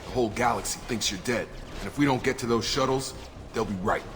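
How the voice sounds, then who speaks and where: A man's voice: mid-range voice, fast talker, background noise, lightly acted. An actor with an American accent playing a loyal, plain-spoken male soldier in a video game, matter-of-fact and military in manner. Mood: steady, frank. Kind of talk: video game dialogue acting.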